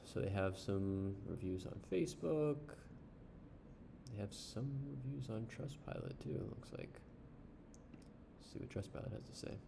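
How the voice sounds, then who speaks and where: A man casually reviewing an online service while browsing its pages, unhurried, with frequent ums and uhs. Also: lowish voice, lively intonation, vocal fry, background noise. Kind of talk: casual screen-share review.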